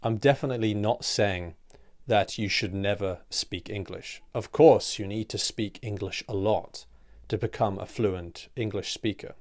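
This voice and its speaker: A man teaching English in a clear, deliberate scripted lesson, asking rhetorical questions and trailing softer at the ends of phrases. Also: lowish voice, faint music behind.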